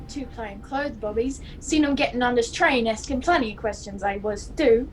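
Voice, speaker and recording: A woman's voice: fairly high pitch, normal speed, lightly acted. A woman playing a nosy, slangy passenger in a radio play. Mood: nosy, astonished. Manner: slangy, exclamatory. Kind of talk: old-time radio play acting. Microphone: video call. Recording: faintly noisy video call audio.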